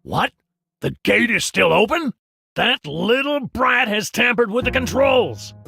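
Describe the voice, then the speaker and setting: A man's voice: extremely high pitch, animated, unhurried, vocal fry, sharp tone, faint music behind, exaggerated voice. A man voicing an irritable, suspicious asylum guard with an agitated manner, in a cartoonish game. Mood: alarmed, angry. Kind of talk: cartoonish game character acting.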